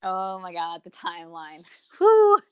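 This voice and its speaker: A woman's voice: mid-range voice, huge pitch swings, unhurried, clear, resonant tone, no echo, highs cut off. Emphatic, opinionated woman in very dry sound. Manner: opinionated, talks at length, emphatic. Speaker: podcast co-host. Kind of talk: casual review commentary.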